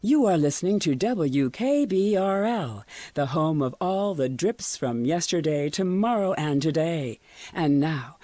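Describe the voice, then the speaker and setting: An actor playing an eerily upbeat, controlling radio station announcer, delivering polished, deliberate promos. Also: male voice, a little high, mellow, dry recording.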